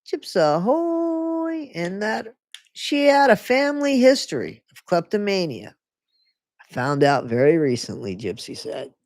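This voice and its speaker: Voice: medium pitch, very animated, a little slow, darkish tone, no echo, clean studio sound. Sarcastic livestreamer reading a book aloud. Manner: sarcastic asides between read passages; chatty, reads aloud, often stops and rereads. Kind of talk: casual live reading with commentary.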